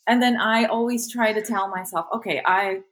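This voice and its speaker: A woman's voice: mid-range voice, steady tone, moderate pace, fairly bright tone. Hesitant Turkish-born woman guest. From Turkey. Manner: hesitant, many fillers, laughs easily. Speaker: guest, content creator. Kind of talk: casual interview chat.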